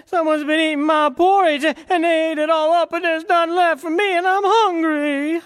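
A man voicing a tiny whining baby bear cub in a storybook tale, high and squeaky. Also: fairly even intonation, moderate pace, fairly bright tone. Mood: whiny.

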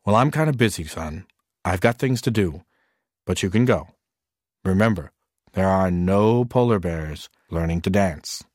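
Male narrator doing a firm, lowered dad voice, lecturing and impatient, dry close sound. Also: expressive, unhurried, a little mellow, dry recording. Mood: stern. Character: stern, busy father of a young boy.

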